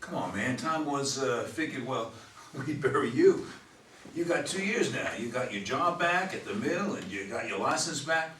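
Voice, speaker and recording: A man's voice: mid-range voice, expressive, normal speed, a bit bright, lightly acted. A man acting a protective, anxious barroom buddy with folksy, fumbling speech in a staged play reading. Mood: worried, coaxing. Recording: roomy, distant mic, faint noise.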